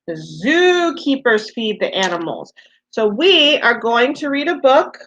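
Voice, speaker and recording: A woman's voice: fairly high pitch, highs cut off. A woman teacher giving a read-aloud lesson to young children, speaking simply and encouragingly, with questions to the listeners.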